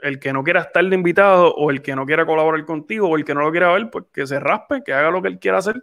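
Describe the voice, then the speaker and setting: A man's voice: fairly high pitch, quick pace, vocal fry, fairly bright tone. A man in his twenties with a Puerto Rican accent, hosting a podcast in casual, rambling chat. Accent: Puerto Rican Spanish. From Puerto Rico. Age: 20s. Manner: rambling, frequent restarts and fillers. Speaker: podcast host.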